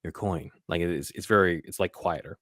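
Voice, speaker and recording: Low voiced guy breaking down a film, casual podcast host, halting, very dry sound. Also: a bit bright, no echo. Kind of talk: casual scene-by-scene film commentary.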